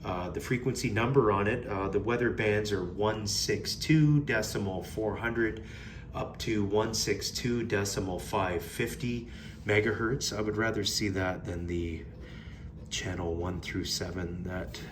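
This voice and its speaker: Low-voiced man, noisy recording. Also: steady tone, a little slow. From Canada. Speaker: product reviewer. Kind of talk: casual unscripted product review.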